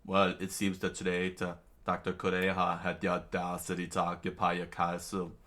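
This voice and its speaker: A man's voice: deep voice, completely flat delivery, normal speed, a bit bright. A man playing a king's dutiful henchman, reporting in a formal, deferential way for a story.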